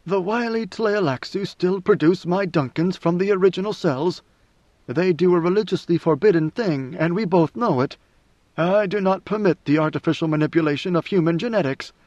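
A man voicing an ancient, brooding male God Emperor who speaks in a slow, measured way, with weary authority. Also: on the high side, a little mellow, no room sound, voice pushed up, close to an everyday voice. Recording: dry, slightly muffled recording.

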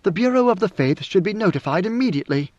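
A man's voice: sits high, expressive, quick pace, darkish tone, no room sound, pitched above the performer's own voice. Man doing a blunt guard commander. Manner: direct, plain-spoken. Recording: dry, slightly muffled recording.

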